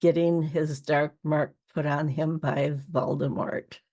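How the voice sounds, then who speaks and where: A woman's voice: low-pitched, little pitch movement, slow, a little mellow. A woman hosting a podcast, interviewing a guest in a casual, chatty style.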